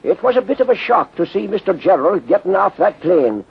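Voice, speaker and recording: A doubtful, plain-talking police sergeant in an old radio drama. His voice is high and very rough, coming through a thin, tinny 1930s recording. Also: very animated, a little fast, heavily acted. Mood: deflated. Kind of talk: 1930s radio serial acting.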